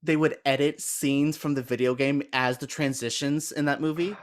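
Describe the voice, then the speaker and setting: A man's voice: fairly high pitch, fairly even intonation, normal speed. Rambling casual man talking bad movies. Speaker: podcast panelist discussing bad films. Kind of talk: casual opinionated chat.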